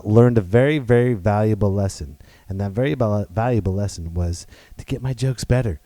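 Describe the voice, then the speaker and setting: A man hosting his own podcast, talking loud and playful in a rambling way with many restarts. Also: medium pitch, dry recording. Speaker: podcast host. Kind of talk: casual solo podcast monologue.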